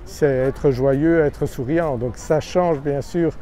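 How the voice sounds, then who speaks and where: Warm-voiced man chatting outdoors. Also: on the high side, slow pace. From Brussels, Belgium. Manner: hesitant, many filler sounds, friendly persuading. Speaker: property finder, vlogger. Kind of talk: casual vlog pitch to camera. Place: outdoors in a seaside town.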